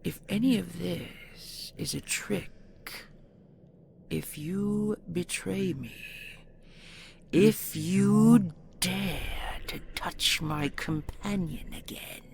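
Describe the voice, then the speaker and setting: A regal dragon queen speaks in a low, heavily creaking growl. She is cold, possessive and imperious, drawing out each threat very slowly, as in a fantasy audio roleplay. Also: darkish tone, slight background noise, full character voice. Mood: commanding. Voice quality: gravelly, growling. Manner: imperious, slow, deliberate, threatening.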